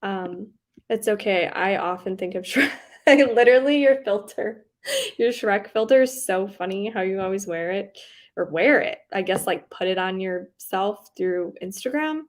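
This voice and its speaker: A young woman with a faint Southern accent rambles easily through a casual livestream, full of likes, ums and quick giggles. Her voice sits at an ordinary mid pitch. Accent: slight Southern US on some words. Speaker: booktube livestream host. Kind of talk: casual chat reading live comments.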